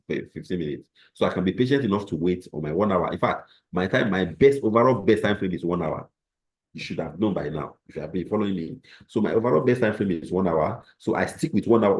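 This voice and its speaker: A man's voice: low-pitched, darkish tone. A man hosting a live trading class, bluntly answering viewer comments.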